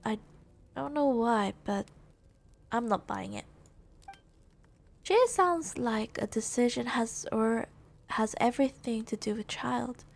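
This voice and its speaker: A woman live-streaming a game playthrough, musing aloud in a halting, reflective way. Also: a little high, vocal fry.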